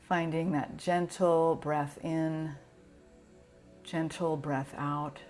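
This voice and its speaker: A woman teaching yoga nidra, guiding a relaxation meditation in a gentle, soothing, unhurried way with pauses. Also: sits low, level tone, soft background music.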